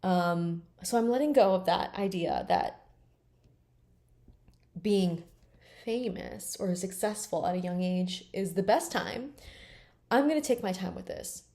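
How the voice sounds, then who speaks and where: A woman's voice: mid-range voice, a little slow, long pauses, warm tone. A woman in her late twenties talking bluntly and emphatically in a candid personal vlog, swearing freely. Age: 20s. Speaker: vlogger.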